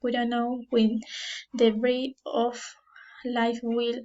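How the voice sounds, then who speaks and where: A young Ecuadorian woman with a Spanish accent, a native Spanish speaker, reading her class presentation in a halting way with restarts and self-corrections. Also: fairly high pitch, flat delivery, warm tone. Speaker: student. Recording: dull lo-fi recording.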